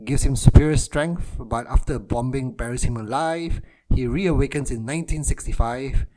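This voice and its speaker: Man from Malaysia, podcast co-host, easygoing, frequent ums, mid-pitched voice. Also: moderate pace, low-quality recording. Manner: relaxed, many ums and quiet trailing endings, laughs easily. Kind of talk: casual film podcast chat.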